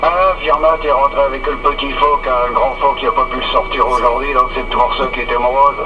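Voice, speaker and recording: A man's voice: medium pitch, steady tone, fast talker. An older man joking wryly in casual banter over a CB radio. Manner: joking, wry. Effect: CB radio transmission. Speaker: CB radio contact. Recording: dull lo-fi CB radio transmission.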